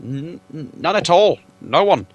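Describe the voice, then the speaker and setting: A man's voice: fairly high pitch, very animated, fast, warm tone, dull audio, pitched above the performer's own voice. A man reading aloud, voicing a small, frightened male hobbit who stammers and pleads politely.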